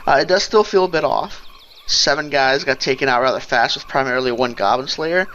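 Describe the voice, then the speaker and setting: A man's voice: medium pitch, a little fast, a bit bright, dull audio. A man giving casual, rambling gameplay commentary, thinking aloud as he goes. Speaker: let's play host. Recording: game music behind the voice. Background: fantasy game music and sounds.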